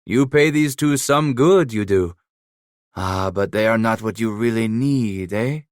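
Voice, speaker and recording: A hulking, scarred frontier killer, as a male narrator puts him on, mangling his English with a mocking, menacing edge. His voice sits higher and louder than the narrator's own, and the sound is close and dry. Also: unhurried, no echo, lightly acted. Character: giant scarred French-Canadian frontier killer, cunning, playful.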